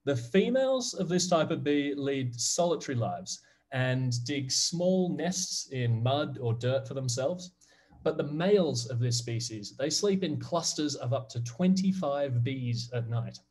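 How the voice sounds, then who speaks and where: A man's voice: medium pitch, expressive, measured pace, darkish tone. A man in his thirties from Australia with an Australian accent, giving a friendly, upbeat online class presentation to kids over a video call. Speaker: guest presenter.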